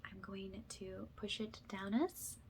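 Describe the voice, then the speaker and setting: Soft, slow, whispery woman's ASMR voice. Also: medium pitch, fairly even intonation, slight background noise. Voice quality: soft, breathy, often slipping into whisper. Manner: gentle, slow, reassuring, affirming. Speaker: ASMR energy healer. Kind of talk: spiritual ASMR, guided energy healing.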